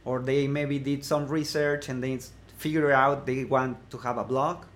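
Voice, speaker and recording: A man from Mexico with a Mexican Spanish accent, speaking as an interview guest in a casual chat, pausing often with fillers and restarts. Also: mid-range voice, steady tone. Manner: hesitant, many fillers and restarts. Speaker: guest, blogging course creator.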